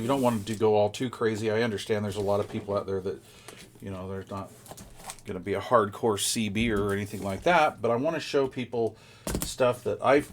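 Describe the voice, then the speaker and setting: A man's voice: on the low side, slight background noise. A man giving casual unboxing commentary as a product reviewer, in a rambling, folksy, conversational way.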